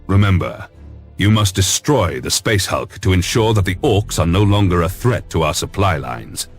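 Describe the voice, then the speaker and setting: An actor playing a stern, commanding space navy lord admiral in a game, giving formal orders with husky authority. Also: male voice, low voice, lively intonation, normal speed. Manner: formal, authoritative military briefing. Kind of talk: video game briefing. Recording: music underneath.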